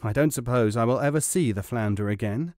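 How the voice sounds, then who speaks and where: A man's voice: medium pitch, a little fast, darkish tone, dry recording, studio quality, lightly acted. A man voicing a meek, timid fisherman who is easily bullied and speaks hesitantly and apologetically.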